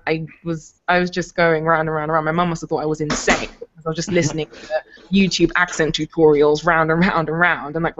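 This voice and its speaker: A young British woman chatting casually as a voice actor on an online call. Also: low voice. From Britain. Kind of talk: casual chat. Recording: noisy lo-fi internet call.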